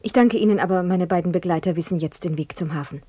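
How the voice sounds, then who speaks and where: Woman playing a gentle young lady in a radio drama, lowish mellow voice, bit husky, dry sound. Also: fast talker, no room sound, close to an everyday voice. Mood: polite, reserved. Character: gentle, polite young lady, pleading daughter of a colonel. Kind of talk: German radio play acting.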